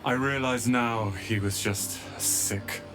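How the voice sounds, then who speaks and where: A young man playing an earnest, resolute wizard's apprentice with a wounded past in a dramatic video game, speaking in a measured, emotional way. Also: medium pitch, fairly even intonation, over music, lightly acted. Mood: bitter, wounded.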